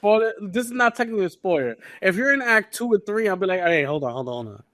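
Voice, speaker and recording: A man's voice: high voice, lively intonation, fast, fairly bright tone, no room sound, studio quality. A man co-hosting a podcast, talking informally and teasingly about video games. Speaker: podcast co-host.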